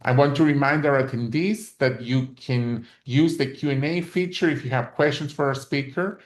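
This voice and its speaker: A man's voice: medium pitch, moderate pace, roomy sound. A man hosting a webinar, reading a formal introduction of the speaker and giving the audience housekeeping notes. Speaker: webinar host.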